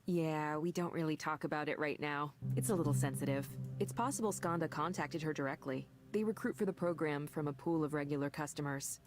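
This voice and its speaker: Woman voicing a smooth corporate manager, low voice. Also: a little fast, hardly any pauses, lightly acted. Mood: intrigued. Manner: reassuring, corporate, slightly defensive. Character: smooth confident woman tech company manager. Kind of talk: video game voice acting. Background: game music.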